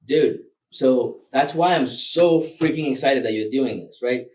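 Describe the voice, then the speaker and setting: A man's voice: medium pitch, a little slow, darkish tone, distant mic, low-quality recording. A man from Rwanda, Kinyarwanda his first language, talking loosely as a filmmaker guest with many fillers and laughs. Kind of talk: casual interview.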